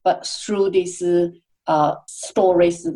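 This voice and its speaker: A woman speaking as a podcast guest, answering at length in a halting way with many fillers and restarts. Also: on the low side, fairly even intonation, warm tone. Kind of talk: conversational interview answers.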